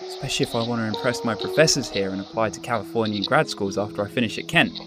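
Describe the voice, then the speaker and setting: An actor playing a studious, wry young British exchange student in a radio drama. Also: male voice, on the low side, very fast, over music, close to an everyday voice. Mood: reflective. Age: young adult.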